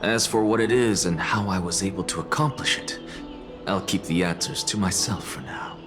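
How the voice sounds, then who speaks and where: A man's voice: deep voice, normal speed, lightly acted. An actor playing a secretive male alchemist in a game, speaking coolly and withholding answers. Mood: smug. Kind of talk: game voice acting. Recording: game dialogue over music.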